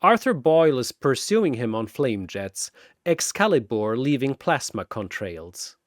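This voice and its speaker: Male narrator for scripted action story: theatrical, unhurried, highly expressive intonation, close dry sound, punchy phrasing. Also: no echo. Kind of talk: dramatic scripted battle narration.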